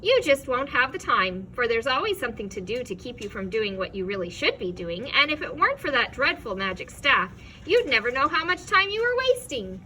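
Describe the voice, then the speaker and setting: A woman's voice: a little high, big pitch swings, a little fast, slight background noise, low-quality recording. Woman doing a sly wheedling demon man. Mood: scheming. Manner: soft wheedling menace. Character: sly scheming male demon of petty tasks.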